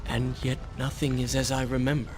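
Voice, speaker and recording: Game hero: male actor as a hunted young prince, earnest and brooding, mid-pitched, prominent music behind. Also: flat delivery, fairly quick, close to an everyday voice. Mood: brooding, uneasy. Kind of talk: dramatic video game monologue.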